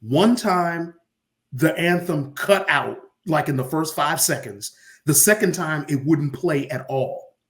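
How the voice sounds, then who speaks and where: A man's voice: fairly high pitch, slow, a bit bright. A man, an arena announcer, telling casual stories as an interview guest and acting out bits of dialogue. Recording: roomy echo on the voice.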